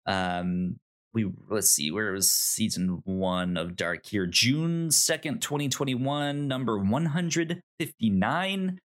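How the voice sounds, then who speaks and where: A man co-hosting a review podcast in casual chat, with a halting, thinking-aloud delivery. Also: on the low side, expressive, fairly bright tone. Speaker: podcast co-host.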